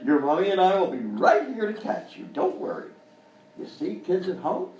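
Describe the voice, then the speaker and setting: A man's voice: sits high, very animated, normal speed, a little mellow, muffled recording. A man playing a flustered, relentlessly cheerful uncle who hosts a children's show in a live radio comedy sketch, talking down soothingly. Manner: reassuring, singsong talk to children. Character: avuncular, flustered male kids' show host, relentlessly cheerful. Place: live performance in hotel venue. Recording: roomy live stage, distant mic, faint noise.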